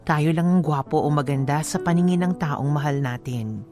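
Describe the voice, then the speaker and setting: A woman playing a sentimental young woman who narrates her love story in measured, scripted Filipino-accented delivery. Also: deep voice, dark tone, soft background music, natural voice. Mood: wistful, reflective. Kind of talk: scripted first-person narration.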